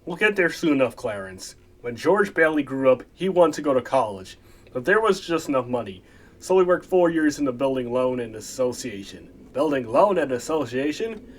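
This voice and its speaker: A man playing a folksy, calm storytelling narrator who explains events in a radio play. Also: medium pitch, normal speed. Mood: calm, explanatory.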